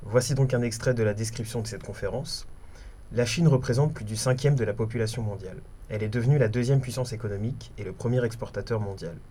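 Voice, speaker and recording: A man's voice: on the low side, warm tone. A man co-hosting a radio programme, reading scripted announcements and descriptions aloud. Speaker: radio co-host.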